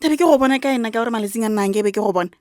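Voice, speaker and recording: A woman's voice: on the high side, rapid-fire, darkish tone, lightly acted. A woman with a Botswana accent acting a meddling, protective grandmother who scolds her grown daughter in a radio drama. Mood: scolding, hurt. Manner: scolding, disapproving.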